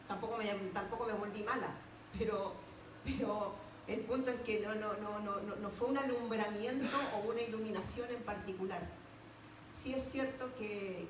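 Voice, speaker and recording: A woman with a Chilean Spanish accent giving an unscripted personal testimony at a public talk, often repeating words for emphasis. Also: medium pitch. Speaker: panel speaker, lodge member. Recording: echoey, noisy room, distant microphone.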